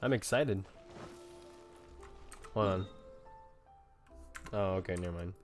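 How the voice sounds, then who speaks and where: A man giving casual, halting live reactions while he plays a video game. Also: sits low, soft background music. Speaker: gaming streamer.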